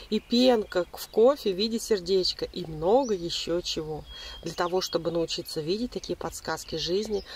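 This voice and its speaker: A woman reading a scripted spiritual self-help voice-over in a measured, explanatory manner with deliberate pauses. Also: medium pitch, a little mellow, a little hiss or hum. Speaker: narrator giving spiritual self-help advice.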